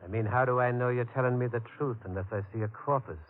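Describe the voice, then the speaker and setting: A man's voice: mid-range voice, fast talker, natural voice. An actor playing a blunt, menacing, wisecracking American gangster henchman in an old-time radio drama. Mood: suspicious, bossy. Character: gruff, menacing, suspicious male gangster henchman. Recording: tinny, noisy old radio recording.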